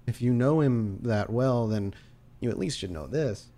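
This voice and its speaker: A man playing a smug, scheming crime boss who bargains in a measured, businesslike way in a roleplay game. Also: mid-range voice, animated, warm tone, lightly acted. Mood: cool, probing.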